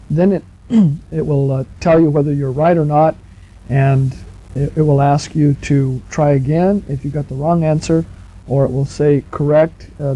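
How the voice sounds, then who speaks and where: A man's voice: a little high, mellow, breathy edge. A man giving instructions in an informal, chatty way, speaking directly to the listener as on a tutorial tape. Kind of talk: conversational instructional narration. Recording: muffled 1970s cassette tape recording.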